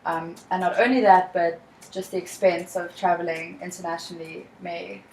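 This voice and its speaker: A young South African woman with a slightly low voice speaks in a calm, measured, reassuring way. She leads a counseling practice session in an echoey room with a faint hiss behind her. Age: young adult. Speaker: student counselor.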